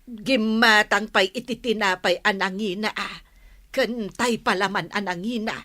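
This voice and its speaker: An actress playing a nosy, indignant village gossip in an Ilocano radio comedy drama, with an Ilocano accent and exclaiming banter. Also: female voice, mid-range voice, measured pace, bright, forceful tone, dry recording, clean studio sound. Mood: gossipy. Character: nosy, gossiping village woman who lingers at the store.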